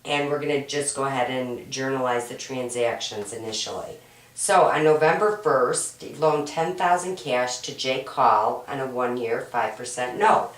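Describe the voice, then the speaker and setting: A woman with a low, hoarse, raspy voice from a cold walks through homework problems one step at a time. She is patient and keeps checking in with "right?". The recording sounds roomy and distant, as if picked up from across the room. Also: moderate pace. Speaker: accounting instructor. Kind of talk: homework walkthrough lesson. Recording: roomy echo, mic at a distance.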